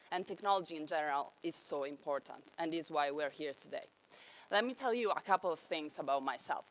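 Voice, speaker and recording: A young woman with an Italian accent giving an enthusiastic conference talk on stage. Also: on the low side. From Italy. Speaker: conference speaker.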